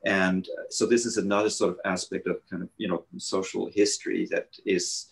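A man, a guest architect speaking haltingly over a video call from a living room. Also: low-pitched, level tone, gravelly. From Switzerland. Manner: halting, many hesitations and restarts. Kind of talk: panel conversation.

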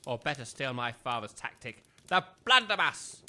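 An actor playing a pompous, bad-tempered retired army major with an English accent, blustering and barking orders in a comic audio drama. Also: male voice, mid-range voice, very animated, normal speed, pushed and bright. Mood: indignant, scornful.

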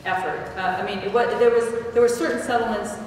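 A woman's voice: fairly high pitch, fairly even intonation, brisk. Older woman, panel speaker, chatty with restarts, heard from a distance in a big echoey hall with noise. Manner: conversational, restarts and self-corrections. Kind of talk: answering audience questions on a panel. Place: large echoey hall with stone walls. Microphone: table mic, picked up from a distance.

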